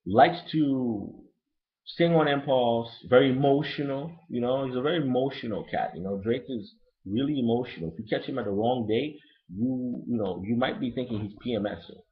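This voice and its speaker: A man with a Haitian accent who grew up in Brooklyn, ranting bluntly and profanely in a casual, rambling album review. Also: normal speed. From Brooklyn, New York. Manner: profane, blunt and rambling, lively pitch swings. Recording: lo-fi dull recording.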